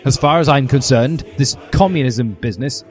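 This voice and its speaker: A man's voice: medium pitch, moderate pace, lightly acted. A man voicing a stern, prim older female teacher who scolds disapprovingly in a comic story reading. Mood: scolding, indignant. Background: music.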